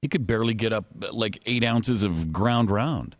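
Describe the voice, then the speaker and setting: Male co-host, lowish voice, offhand casual banter, very dry studio acoustic. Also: normal speed, no room sound. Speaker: radio co-host.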